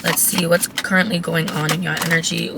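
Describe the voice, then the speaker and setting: A woman who has lost her voice, raspy and cracking, giving a chatty, blunt tarot reading to her viewers. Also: on the low side, level tone, brisk, very noisy. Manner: chatty, blunt, swears casually. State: hoarse, voice cracking. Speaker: tarot reader. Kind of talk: casual tarot reading to camera.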